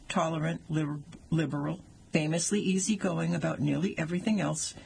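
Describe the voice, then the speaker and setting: A man's voice: on the high side, creaky, a little hiss or hum, low-quality recording. A man in his sixties reading a personal essay aloud with wry, dry humor.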